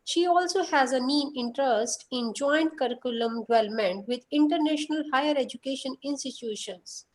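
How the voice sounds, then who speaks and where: A high-voiced woman hosts an online workshop over a video call. She reads a formal introduction of the guest at a steady pace. She makes small slips along the way. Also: a little fast, few pauses, low-quality recording. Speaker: workshop host. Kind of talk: reading a speaker introduction.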